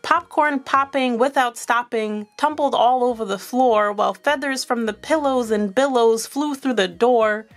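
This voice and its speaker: A woman reading a children's picture book aloud in an expressive, animated storyteller's manner. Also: on the high side, unhurried, few pauses, faint music behind. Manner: animated, asks young listeners questions.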